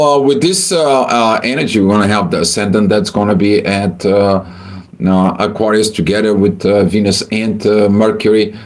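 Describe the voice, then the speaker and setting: A man from Bulgaria, hosting a livestream astrology forecast in a halting way with many filler sounds. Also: low voice.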